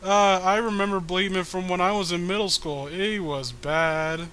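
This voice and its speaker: Man, high pitch, forceful bright tone, sarcastic podcast host, joking read-along commentary. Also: fairly even intonation, moderate pace, clear, resonant tone. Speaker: podcast host reading a comic aloud. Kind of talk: comedic reading with casual commentary.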